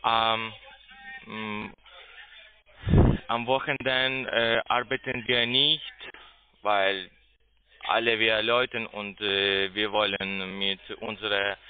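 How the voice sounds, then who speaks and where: A man with a foreign accent, not a native speaker, making a halting sales call and searching for words in broken phrasing. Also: lowish voice, little pitch movement, clean tone. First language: not German. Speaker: phone sales agent pitching investments. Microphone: telephone. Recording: tinny, muffled phone line.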